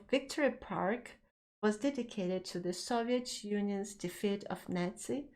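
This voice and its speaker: A woman's voice: mid-range voice, a little mellow. A middle-aged woman from Ukraine with a Ukrainian accent, hosting a scripted explainer and talking emphatically to the viewer with rhetorical asides. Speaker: activist, channel host. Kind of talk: scripted direct-to-camera explainer.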